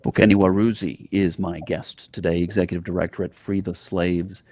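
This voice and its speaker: A man with a deep voice hosts a podcast interview. His delivery is conversational, and the recording is dry and close, free of room sound. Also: little pitch movement, normal speed, darkish tone, no room sound.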